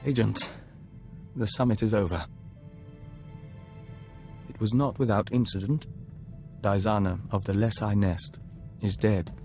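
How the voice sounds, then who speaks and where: An actor playing a serene, earnest diplomat of an insect hive mind in a video game, speaking formally and solemnly. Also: male voice, lowish voice, steady tone, a little slow, long pauses, a little mellow, faint music behind.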